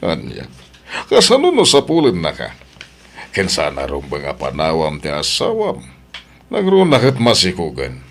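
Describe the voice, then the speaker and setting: A man acts as a stern old Filipino father in a radio drama. His voice is extremely low and gravelly, swinging widely in pitch as he shows concern for his grown son. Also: wildly swooping pitch, measured pace, heavily acted. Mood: stern, disbelieving. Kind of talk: Ilocano radio drama acting.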